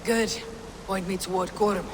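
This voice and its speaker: A woman playing a steady, determined Norse warrior in a video game, speaking tersely and with composure. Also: medium pitch, unhurried, long reverb tail, noisy background, natural voice. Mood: calm, probing. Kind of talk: video game cutscene acting.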